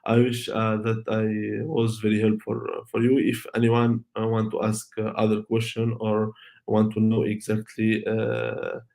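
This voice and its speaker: A young man whose first language is Arabic walks through a technical talk in a low, gravelly voice. He speaks haltingly and fills the gaps with many "uh"s and restarts. His pitch barely moves. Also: completely flat delivery, a little mellow. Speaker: conference speaker. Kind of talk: online tech talk with Q&A.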